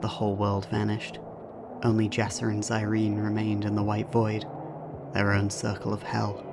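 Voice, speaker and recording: A man's voice: on the low side, completely flat delivery, moderate pace, vocal fry, music in the background. A man reading scripted narration for an audio drama as its narrator.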